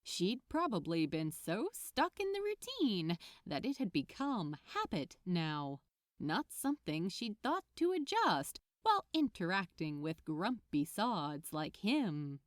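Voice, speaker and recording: A woman narrates fiction with a highly animated, slightly high-set voice that rises and falls constantly. Her delivery is slow and measured, with expressive storytelling throughout. The sound is close and dry. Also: no echo. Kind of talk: scripted story narration, read aloud.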